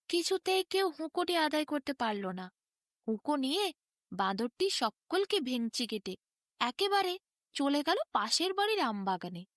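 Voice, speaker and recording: A woman tells a bedtime tale in a high voice. Her intonation is very lively and expressive, rising and falling often. The sound is dry, with hardly any room in it. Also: no echo. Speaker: storyteller. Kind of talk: expressive Bengali bedtime story narration.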